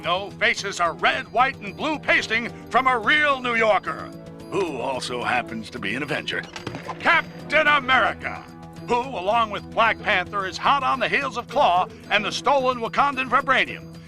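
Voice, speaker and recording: A man's voice: high voice, very animated, moderate pace, bright, forceful tone, faint music behind, full character voice. A man playing a blustering middle-aged newspaperman who delivers an exaggerated news report in a pompous, emphatic, theatrical way. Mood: bombastic, indignant. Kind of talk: exaggerated news broadcast commentary.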